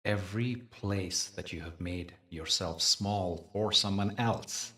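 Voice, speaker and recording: Slow, deep-voiced man guiding meditation. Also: sharp tone, recorded from a distance. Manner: soothing, deliberate, pausing between phrases. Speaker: meditation teacher. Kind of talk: guided meditation, spiritual teaching.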